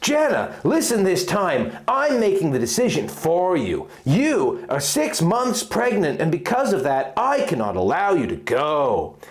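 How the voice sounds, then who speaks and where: A man's voice: on the high side, huge pitch swings, a little slow, few pauses. A man voicing a protective, hot-tempered young hero in an emphatic, melodramatic story reading. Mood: stern, commanding.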